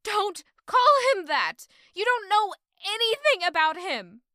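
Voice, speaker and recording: Woman acting bright-voiced, conflicted young woman in love. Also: very high-pitched, big pitch swings, measured pace, dry recording, clean studio sound, full character voice. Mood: defensive, upset. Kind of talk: dramatic audio drama acting with first-person narration.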